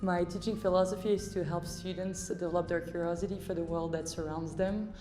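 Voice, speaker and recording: A woman science teacher giving a calm, earnest self-introduction. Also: fairly low pitch, moderate pace, soft background music. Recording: echoey hall with long reverb.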